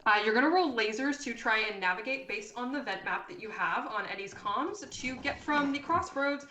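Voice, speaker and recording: A woman's voice: fairly high pitch, animated, moderate pace, pushed and bright. A woman running a tabletop role-playing game as game master, improvising narration for the players. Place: indoor room, live online stream. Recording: roomy, echoey sound.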